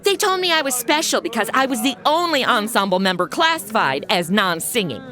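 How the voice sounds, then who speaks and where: A woman's voice: a little high, very animated, brisk, bright, forceful tone, faint music behind. A woman playing a brash, sarcastic modern young woman in a comedic audio drama, slangy and self-dramatizing. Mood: self-mocking. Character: sassy, brash modern young woman thrown into medieval adventure. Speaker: lead of the comedy podcast.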